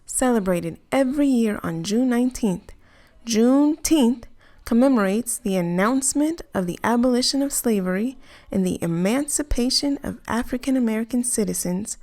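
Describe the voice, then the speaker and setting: Woman reading a note, mellow voice. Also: on the high side, animated, normal speed. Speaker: reader of a book's afterword. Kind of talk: reading an informative note aloud.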